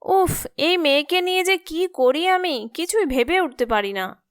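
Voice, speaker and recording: Cartoon mother, very high voice, scolding, fretful, exasperated, close dry sound. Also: animated, a little slow, no room sound. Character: nagging, exasperated middle-aged mother of a grown daughter. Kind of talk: cartoon story acting.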